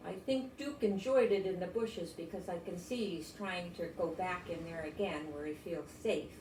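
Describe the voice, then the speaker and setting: A woman's voice: lowish voice, normal speed, a little mellow. Older woman reading aloud, echoey and distant. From United States. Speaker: storybook reader. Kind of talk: expressive children's story read-aloud. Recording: roomy, distant mic, faint noise.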